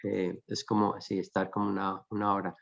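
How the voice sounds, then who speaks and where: A man hosting a conversational webinar, explaining things in halting, repeated phrases. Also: low voice, monotone, vocal fry, highs cut off. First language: Spanish. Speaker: host.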